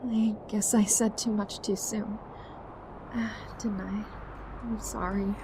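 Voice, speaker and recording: A woman's voice: medium pitch, flat delivery, slow pace, background noise. A woman playing a fierce, stubborn yet tender young survivor in an emotional audio drama, speaking with earnest, emphatic pleading. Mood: embarrassed, hurt. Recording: dramatic music behind the voice.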